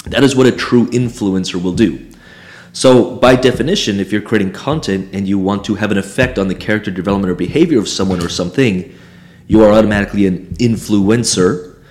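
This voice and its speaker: Hoarse low-voiced man, co-hosting. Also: fairly quick, a little mellow. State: hoarse, losing his voice. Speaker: podcast co-host. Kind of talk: casual podcast talk.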